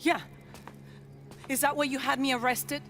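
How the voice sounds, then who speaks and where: A captive queen who stays firm and resolute. Her voice is high and steady, and every line holds its ground. She speaks in a game cutscene. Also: normal speed, a little hiss or hum. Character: defiant imprisoned female queen. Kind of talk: video game cutscene acting.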